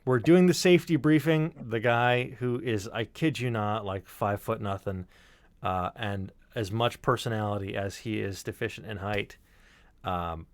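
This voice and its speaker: A man's voice: lowish voice, measured pace, dry recording. A man telling an anecdote in a casual, wry and emphatic way, with some swearing. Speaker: storyteller on a podcast stream. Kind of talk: casual storytelling.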